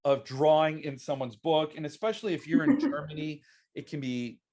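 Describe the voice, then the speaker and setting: A man's voice: a little high, animated, brisk, a little mellow. Chatty American man hosting an interview. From United States. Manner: chatty and self-deprecating, with many restarts. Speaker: podcast host. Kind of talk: casual interview chat.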